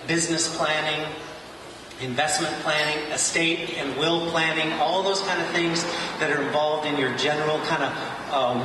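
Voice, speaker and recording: Man, warm-toned host, welcome speech, echoey distant hall recording. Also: on the high side. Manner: informal, with frequent "uh" fillers. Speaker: wealth advisor hosting an event. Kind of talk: welcome speech at an evening event. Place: large echoey venue, a car showroom. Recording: echoey hall from far off, noisy.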